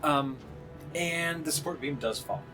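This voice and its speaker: A man narrating a tabletop roleplaying game as game master, improvising with halting pauses, with music playing behind him. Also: a little high, poor audio. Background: background music.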